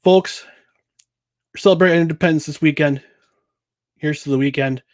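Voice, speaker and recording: A man's voice: a little high, normal speed, no room sound, studio quality. A man hosting a casual solo podcast about sports and beer, rambling with chuckles, filler words and restarts. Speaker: podcast host.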